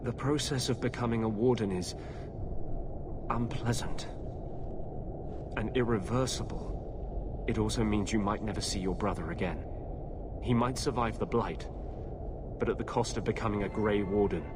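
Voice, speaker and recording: An actor playing a grave, weary fugitive mage in a video game, speaking in an earnest, guarded way. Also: male voice, lowish voice, normal speed, pauses often, natural voice. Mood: somber resignation. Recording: noisy game ambience.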